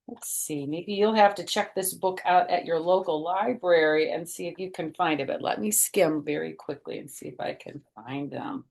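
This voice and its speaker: A woman's voice: low-pitched, normal speed, creaky, hardly any pauses, a little mellow. A woman hosting storytime, reading a picture book aloud expressively and adding chatty asides.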